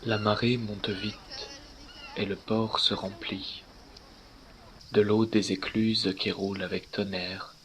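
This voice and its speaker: A man reciting scripted verse in a measured, declamatory way, pausing between phrases. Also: fairly low pitch, level tone, fairly bright tone, slight background noise, poor audio.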